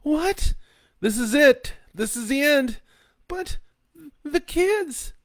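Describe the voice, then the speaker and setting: Panicked mother, high and tearful, read by a man narrator. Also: moderate pace, creaky, dry recording, studio quality. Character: frightened middle-aged mother and wife.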